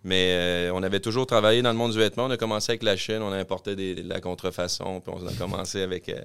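A man from Quebec City with a Québécois accent, a podcast guest telling his business story in fast, rambling casual chat. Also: low voice, steady tone, vocal fry, fairly bright tone, smooth. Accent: Québécois French. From Quebec City, Canada. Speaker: podcast guest, clothing-store co-founder.

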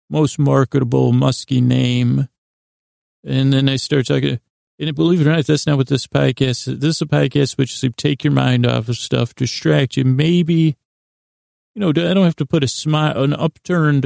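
A man hosting a bedtime podcast in a rambling monologue, mumbling and stumbling apologetically through halting, meandering, self-deprecating asides. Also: medium pitch, vocal fry, a little mellow, no room sound, studio quality. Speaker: podcast host. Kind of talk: rambling bedtime monologue to help listeners sleep.

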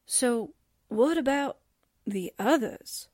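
A naive, eager female newspaper sidekick, played by an actress in an old-time radio drama style. She has a fairly high, very animated voice and a slow, wide-eyed, folksy delivery. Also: no echo, clean studio sound. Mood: wondering. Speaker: voice actor in an audio drama. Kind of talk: 1940s radio drama acting.

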